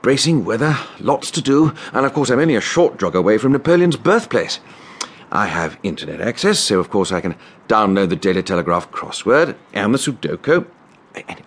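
An actor playing a fussy, genial middle-aged British gentleman in a radio drama, chatting heartily. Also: fairly low pitch, breathy edge, exaggerated voice.

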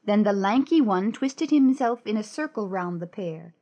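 A woman's voice: medium pitch, expressive, normal speed, mellow. A woman narrating a dramatized fairy-tale audiobook in a scripted storytelling style.

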